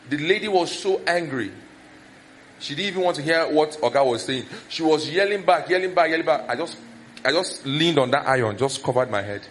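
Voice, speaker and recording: A man's voice: on the high side, a little hiss or hum. A man preaching a conversational sermon that is full of personal stories.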